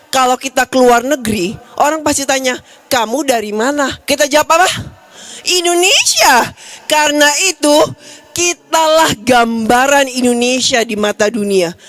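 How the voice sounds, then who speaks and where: Lively woman preaching in a church. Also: high voice, no room sound. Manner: lively, coaxing the congregation directly. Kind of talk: sermon with audience games. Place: church hall.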